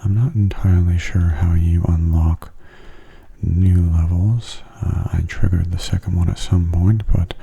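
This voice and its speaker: A man giving soft-spoken ASMR gameplay commentary in a hushed, breathy, unhurried and casual way, close to the microphone. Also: fairly even intonation, highs cut off, a little hiss or hum. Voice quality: breathy, husky low voice. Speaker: gaming ASMR video host. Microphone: close mono microphone.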